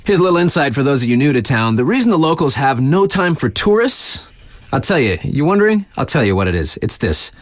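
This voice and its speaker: A man's voice: medium pitch, sing-song, brisk, no echo, clean studio sound. A man playing a laid-back, sardonic young radio DJ in a video game, reading local news in a wry, slangy, conversational way. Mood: sarcastic.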